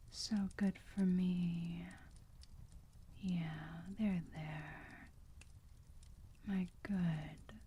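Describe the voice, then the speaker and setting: A woman acts out a doting female vampire who whispers in an ASMR roleplay. Her voice is very breathy and extremely dark and soft, and she takes her time with every phrase, cooing with motherly, protective tenderness. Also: lowish voice, exaggerated voice. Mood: soothing, protective. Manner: soft, slow, cooing, soothing.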